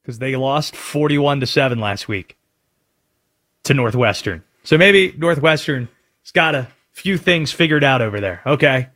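A man with an American accent hosting sports talk in an emphatic, animated way, often asking rhetorical questions. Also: medium pitch, measured pace. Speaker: sports talk show host.

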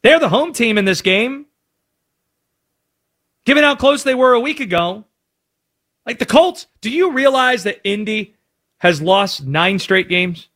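A man with an American accent hosting sports talk in an emphatic, animated way, often asking rhetorical questions. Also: sits high, measured pace, long pauses. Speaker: sports talk show host.

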